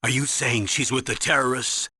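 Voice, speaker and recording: An actor playing a gruff, older army colonel in a video game, raspy, troubled and defensive. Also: male voice, mid-range voice, fairly even intonation, moderate pace, bright, forceful tone, no echo, studio quality. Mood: shocked, concerned. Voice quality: raspy, gravelly. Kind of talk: dramatic video game voice acting.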